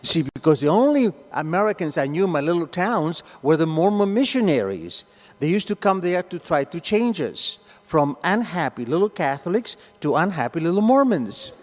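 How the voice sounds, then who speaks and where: An older man from Argentina with an Argentine Spanish accent, a native Spanish speaker, telling an animated story to a meeting and acting out the voices. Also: on the high side, normal speed, in a large hall. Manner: animated, acts out voices in his stories. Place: meeting hall.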